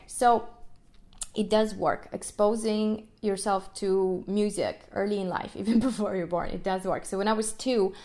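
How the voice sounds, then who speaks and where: A young woman from Ukraine, a native Russian speaker, hosts her own podcast in a lively, animated way. Her voice sits at a middle pitch, and she keeps checking in with her listeners and laughing as she talks. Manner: animated, frequent "right?" tags and laughs. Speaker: podcast host, music educator. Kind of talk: solo podcast talk.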